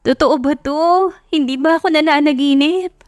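A woman's voice: high voice, moderate pace, darkish tone, dry recording, studio quality, faint music behind, pitched above the performer's own voice, natural voice. A woman voicing a feisty young woman in love who is emotional and disbelieving, in an audio love story. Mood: tearful, insecure. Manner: emotional, exclaiming, teasing.

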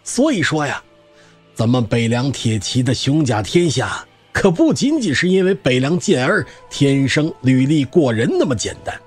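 Old male wanderer for audio drama: folksy, garrulous spear expert, rough-edged husky voice, lively swings. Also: medium pitch, moderate pace, faint music behind. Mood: opinionated, proud.

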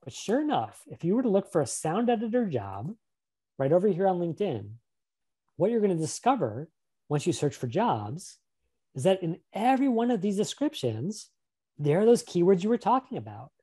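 A man's voice: sits high, big pitch swings, moderate pace, warm tone, breathy edge. A man presenting a career webinar over a video call, upbeat and encouraging, often putting questions to a volunteer. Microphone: webcam on a video call.

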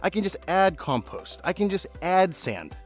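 A man's voice: high voice, sing-song, moderate pace, faint music behind. A man vlogging outdoors in a yard, explaining lawn work in an upbeat, chatty way and laughing often. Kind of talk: casual how-to vlog.

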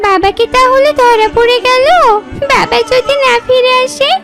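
Tiny squeaky pleading girl fox done by a woman. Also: unhurried, music in the background. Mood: worried. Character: hungry, fretful little girl fox. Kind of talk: children's cartoon dialogue.

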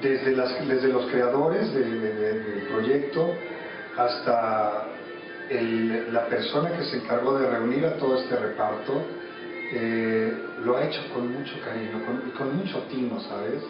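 A Mexican man in his thirties answers reflectively, feeling his way and repeating words. He is recorded far from the mic in a very reverberant small office, with clear music behind him. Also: medium pitch. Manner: halting, repeats words while searching. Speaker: interviewee, radio drama actor. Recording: echoey room, distant mic, muffled, music behind.

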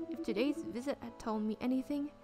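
A woman voicing a sweet, gently musing young florist who works too hard, in a visual novel game. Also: fairly high pitch, fairly quick, music in the background, natural voice. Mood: musing, relieved.

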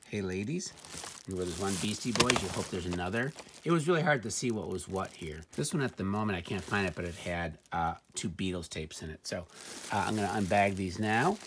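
A man's voice: low-pitched, a bit bright. A middle-aged man giving casual, off-the-cuff commentary on thrift finds, with dry, wry, muttered asides. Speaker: reseller showing thrift finds. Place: indoor room at home, at a table. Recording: noisy home recording with handling clicks.

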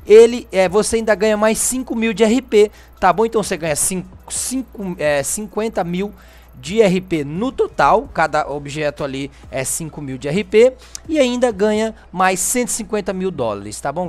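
A Brazilian man hosting a gaming channel, giving a casual, chatty walkthrough in informal speech peppered with little check-in tag questions. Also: high-pitched. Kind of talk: casual video game walkthrough commentary.

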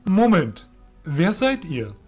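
A fairy warrior of a fantasy radio play, acted by a man, courtly and stern. His voice sits fairly high and swings widely in pitch, with music underneath. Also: huge pitch swings, slow, darkish tone, exaggerated voice. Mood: suspicious, angry. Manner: formal, archaic courtly address. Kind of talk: amateur fantasy audio drama acting.

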